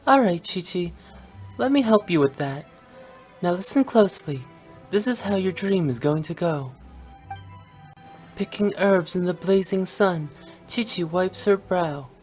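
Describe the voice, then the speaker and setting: A young woman director from a video game, speaks in a lowish voice at an unhurried pace. She is teasing, theatrical and fearless, spinning her lines like a storyteller. Music plays faintly behind her. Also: animated, a little mellow. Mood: playful, teasing. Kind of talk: scripted video game voice acting.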